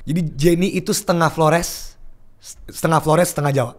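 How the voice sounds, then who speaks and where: A young man chatting casually as a podcast guest, telling an animated story and mixing English phrases into his speech. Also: a little high, brisk. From Indonesia.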